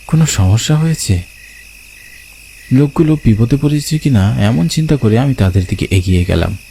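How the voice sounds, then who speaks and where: A man's voice: medium pitch, lively intonation, moderate pace, gravelly, mellow, slight background noise. A man telling a horror story in dramatic first-person narration.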